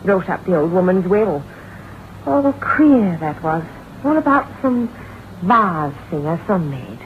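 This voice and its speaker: An actress playing a gossipy, folksy country shopkeeper in an old-time radio drama, telling morbid tales with drawn-out pauses. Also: female voice, fairly low pitch, sing-song. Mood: nosy, gossipy. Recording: muffled, hissy vintage radio recording.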